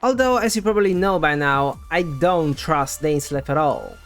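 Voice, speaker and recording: A man with a somewhat high-pitched voice delivers scripted game theory commentary. He explains things in a conversational way, like a video essay host. Faint music plays behind his voice. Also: expressive, moderate pace, fairly bright tone. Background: background music.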